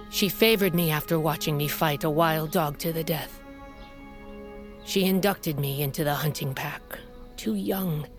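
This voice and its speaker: An actress playing a proud, fierce middle-aged warrior queen and protective mother in an audio drama, with a commanding, grave way of speaking. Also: lowish voice. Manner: commanding, grave storytelling delivery. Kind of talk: dramatic audio fiction acting.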